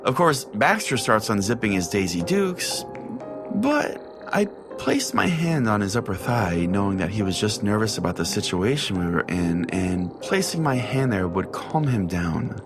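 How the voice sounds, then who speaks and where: A man's voice: on the low side, big pitch swings, moderate pace, over music. A man playing a crude, self-righteous small-town pastor who hosts a call-in radio show, pompous and foul-mouthed. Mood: earnest storytelling. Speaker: podcast host and storyteller. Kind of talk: comedic storytelling in radio call-in format.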